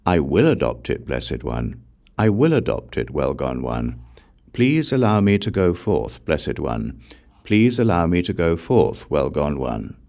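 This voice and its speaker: A man's voice: a bass voice, sing-song, measured pace, creaky, darkish tone, heavily acted. A man voicing a humble, devout young outcast woman in a scripture audiobook, with earnest, solemn phrasing. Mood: earnest pleading.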